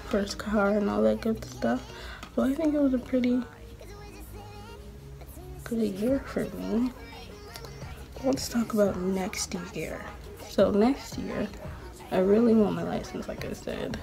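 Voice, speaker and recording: A woman's voice: on the high side, takes it slowly, creaky, pauses often, music in the background. A 19-year-old woman chatting casually in her bedroom while doing her makeup, rambling with lots of "like" and "um". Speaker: beauty vlogger. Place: small bedroom.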